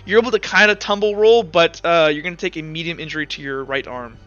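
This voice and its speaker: A man narrating and refereeing a tabletop role-playing game among friends, in an informal, animated storytelling way. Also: a little high, fast, smooth, highs cut off, soft background music. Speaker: game master.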